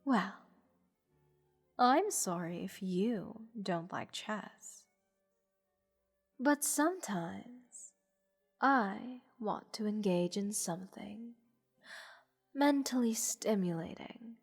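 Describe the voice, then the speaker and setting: A woman playing a haughty, playful goddess who teases a captive mortal in an unhurried, condescending manner, in a roleplay audio drama. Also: medium pitch, lively intonation, slightly rough, heavily acted. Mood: amused, teasing. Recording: long hall reverb. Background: music.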